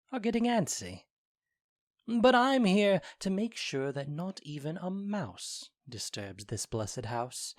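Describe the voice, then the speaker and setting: A man playing a mischievous fairy spirit of the night in an audio drama, with deliberate, theatrical storytelling. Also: a little high, dry recording, clean studio sound. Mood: playful, restless. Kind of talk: audio drama verse monologue in modern English.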